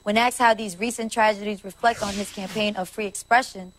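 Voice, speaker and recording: A woman plays a serious American news anchor in a game cutscene. She reads scripted news in a polished, mid-pitched voice. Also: steady tone, a little fast, natural voice. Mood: serious, brisk.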